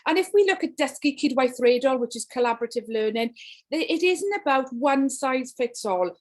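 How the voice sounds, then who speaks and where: High-voiced enthusiastic woman. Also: normal speed. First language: Welsh. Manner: enthusiastic, rhetorical questions, animated emphasis. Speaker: guest speaker to educators. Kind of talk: online teaching presentation. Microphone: video call.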